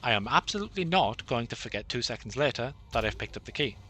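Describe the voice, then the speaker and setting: A man giving rambling, self-deprecating commentary over his own gameplay, with many fillers and a flat, monotonous delivery. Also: medium pitch, quick pace. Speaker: gaming channel host.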